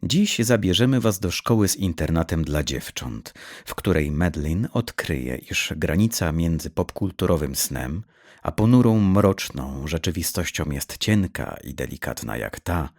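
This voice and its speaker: A male narrator with a low, gravelly voice delivers scripted dark fiction. His storytelling is dramatic and measured, with intonation that moves widely. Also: animated. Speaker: audiobook narrator.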